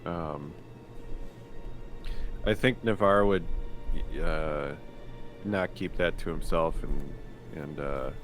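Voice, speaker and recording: A man playing a tabletop game, casually describing his character's actions in a halting, thoughtful way. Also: very deep voice, a bit bright, soft background music. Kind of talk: casual third-person roleplay.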